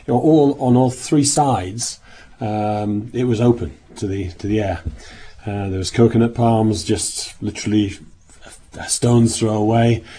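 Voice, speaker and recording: A man giving a sermon in a meeting room, speaking hoarsely as he nearly loses his voice, rambling with many restarts. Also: fairly low pitch, dark tone. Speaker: minister. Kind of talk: sermon opening with travel account.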